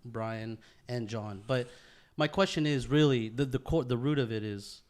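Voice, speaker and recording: A man's voice: medium pitch, unhurried. A man chatting casually on a podcast, earnest and slangy, often repeating himself and swearing. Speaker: podcast co-host.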